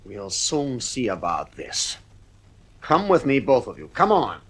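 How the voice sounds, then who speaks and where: A man's voice: medium pitch, huge pitch swings, measured pace. An actor playing a stern, nervy father with a British accent and clipped, blustering speech in a 1950s radio drama. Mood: stern anger.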